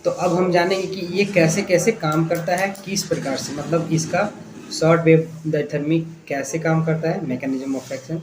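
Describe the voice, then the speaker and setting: In a noisy, echoing room, an Indian-accented man teaches in a slightly high voice. He lectures informally, step by step, often asking a question and answering it himself. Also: fairly even intonation, normal speed. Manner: explains step by step with rhetorical questions and repetition. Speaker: physiotherapy instructor and channel host. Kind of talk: informal spoken lecture to viewers. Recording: echoey room, clear background noise.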